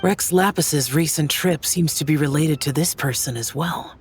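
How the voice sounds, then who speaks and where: A man's voice: fairly high pitch, normal speed, soft background music, close to an everyday voice. Man as a solemn, terse young immortal. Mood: reserved. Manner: reserved, serious, formal. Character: youthful-looking, solemn, terse immortal male demon hunter. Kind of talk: video game voice acting.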